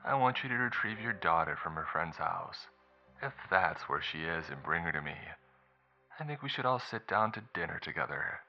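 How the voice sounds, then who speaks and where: A man voicing a taunting kidnapper in an audiobook, calm, mock-polite and menacing, with unhurried delivery. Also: low voice, dull audio, soft background music. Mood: cold taunting. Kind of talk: audiobook character dialogue.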